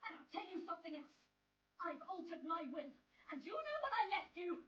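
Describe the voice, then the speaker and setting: An actress playing a scornful, defiant glamorous woman, shrill and theatrical, in a vintage radio drama, muffled and distant. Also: sing-song, brisk, fairly bright tone, exaggerated voice.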